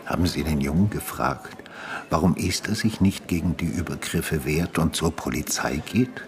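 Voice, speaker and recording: An actor playing a brooding retired homicide detective in a radio drama, probing and measured in his delivery. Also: extremely low pitch, slight background noise. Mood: probing, insistent. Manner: probing, measured, matter-of-fact. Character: brooding retired male homicide detective, insistent questioner and narrator. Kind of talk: radio drama dialogue and narration. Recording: music behind the voice.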